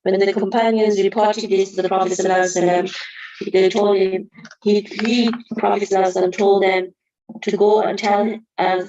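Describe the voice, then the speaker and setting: A woman's voice: medium pitch, flat delivery, fairly quick, mellow, highs cut off. A woman giving an earnest Qur'an study lesson, explaining verses carefully with reverent honorifics. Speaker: religious teacher.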